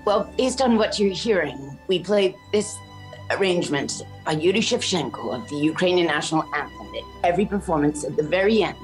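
A middle-aged woman from Canada with a notably low voice speaks with earnest passion over a video call, as a guest in a news interview. Faint music sits beneath her words. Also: lively intonation, a little fast, rough recording. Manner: earnest and impassioned. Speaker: orchestra conductor. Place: indoor room at home.

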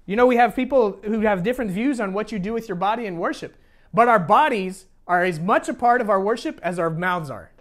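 Male pastor, American, high register, very varied intonation, informal teaching sermon with joking asides. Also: expressive, fairly quick, fairly bright tone. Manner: informal, jokey asides, repeats phrases for emphasis. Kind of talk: sermon, teaching a congregation conversationally.

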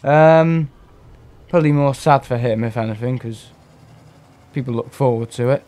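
A hoarse man with a cough, rambling and clearing his throat as he gives casual commentary on a live gameplay stream. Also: medium pitch, moderate pace, warm tone. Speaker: gaming streamer.

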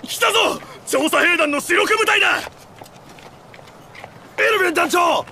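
An actor playing a fervent young man in an anime game, shouting with passion. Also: extremely high pitch, a little slow, a little hiss or hum, heavily acted. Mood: excited. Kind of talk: Japanese anime game voice acting.

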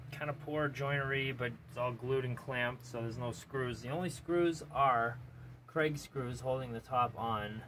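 A man with a somewhat low voice rambles casually, swearing freely and filling gaps with ums and likes. He talks while walking a phone camera around a cluttered basement workshop. A clear steady hiss sits under the phone audio. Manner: loose, profane, rambling with many fillers. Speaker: vlogger giving a workshop tour. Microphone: handheld phone camera, speaker off-screen.

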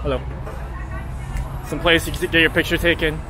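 A man's voice: on the high side, level tone, moderate pace, a crowd in the background. A Canadian man in his thirties, vlogging casually while walking through city streets and bars at night, easygoing and upbeat, with handheld camera sound. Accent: Canadian English. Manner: chatty, easygoing, upbeat narration with fillers. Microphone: handheld camera at arm's length.

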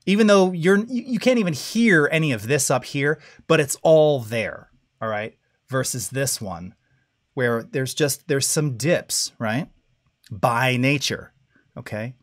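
A middle-aged man with a low voice hosting a live-stream audio tutorial, talking casually and checking in often with "all right?" and "okay?". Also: lively intonation, a little slow. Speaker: audio masterclass host. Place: home studio with dim blue lighting. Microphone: studio mic close up.

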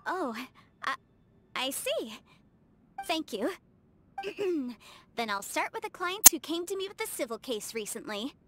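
High, animated, chatty young game heroine. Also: female voice, a little slow, pauses often, background noise. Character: bubbly, talkative young female legal adviser, proud and eager. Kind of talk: English video game character voice lines. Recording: game music under the voice.

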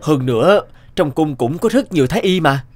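An earnest, humble village healer. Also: male voice, fairly high pitch, animated, moderate pace, a little mellow, dry recording, clean studio sound. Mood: polite, firm. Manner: polite, earnest, pleading. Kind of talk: animated moral tale dubbing. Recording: music behind the dialogue.

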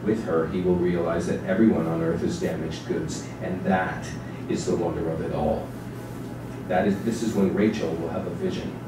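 A man narrating a literary text in a staged dramatic reading, with a measured, deliberate delivery. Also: low voice, fairly even intonation. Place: small theatre stage with seated audience. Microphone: distant camera microphone. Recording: echoey stage recording from far off, noisy.

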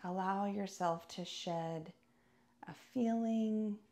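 Female meditation guide: very unhurried, soothing delivery, noticeable vocal fry, deliberate phrasing. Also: mid-range voice, dark tone. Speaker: yoga and meditation teacher.

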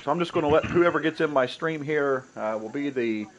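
A man's voice: fairly high pitch, brisk, dull audio, slight background noise. A man in his thirties with a nasal, congested voice from a cold, hosting a live golf game stream and commentating his shots in a headset. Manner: upbeat, welcoming, talks through his own shots. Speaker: stream host. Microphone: gaming headset.

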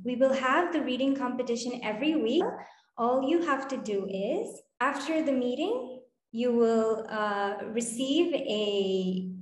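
A woman's voice: on the high side, a little mellow. A woman in her twenties cheerfully hosting an online session for children, encouraging and explaining things step by step on a video call. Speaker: host of children's online storytime. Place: indoor room with bare wall. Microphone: video call, computer microphone. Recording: roomy, echoing video call.

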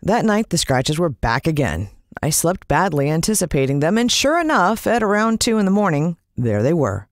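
An American woman narrating a children's story in the first person, expressive and suspenseful. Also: on the low side, normal speed, hardly any pauses, dry recording, clean studio sound. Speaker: audiobook narrator.

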